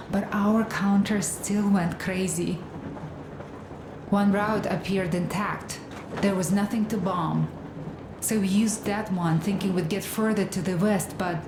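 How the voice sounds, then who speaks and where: A woman's voice: medium pitch, natural voice. An actress as a weary grieving mother in a roomy space. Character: grieving weary mother telling of loss and exile. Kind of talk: video game cutscene monologue. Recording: roomy sound with clear ambient noise.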